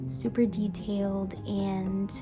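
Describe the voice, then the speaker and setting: A young woman from Brazil whose first language is Portuguese, chatting gently in a casual book review vlog and sometimes dropping to a soft whisper. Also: mid-range voice, little pitch movement, slow. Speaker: book vlogger. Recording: music playing behind the voice.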